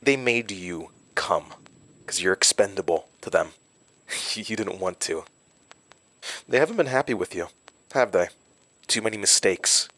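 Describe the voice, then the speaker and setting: An actor playing a haunted, brooding man who narrates his horror story in an audio drama, halting and deliberate. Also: deep voice, expressive, fairly bright tone, heavily acted. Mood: taunting. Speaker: narrator and main character.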